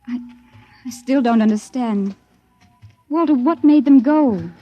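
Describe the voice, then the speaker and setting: A woman's voice: a little high, unhurried, highs cut off, faint music behind. An actress playing an earnest, emotional woman survivor in a 1950s radio drama, pleading and hesitant, on an old recording. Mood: wondering.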